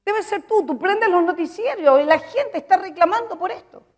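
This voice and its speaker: High-voiced woman preaching a sermon. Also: expressive, moderate pace. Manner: emphatic, repeats phrases, asks the congregation questions.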